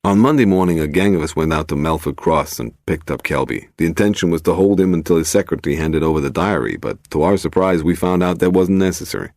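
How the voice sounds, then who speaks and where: Guy doing a sinister growly american mobster for an audiobook, cocky, deep and creaky. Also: quick pace, hardly any pauses, a little mellow, no echo, studio quality. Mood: brusque, confident. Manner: growling, brash, self-confident. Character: sleek, sinister, blustering American club-owning gangster.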